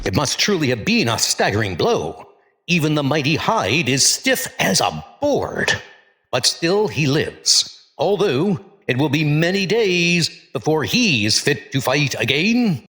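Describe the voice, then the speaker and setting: A man's voice: fairly high pitch, sing-song, measured pace, a bit bright, breathy edge, no echo, heavily acted. A man voicing a heroic, grave thunder god with lofty, formal, declamatory speech in a superhero comic dub. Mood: proud, deliberate.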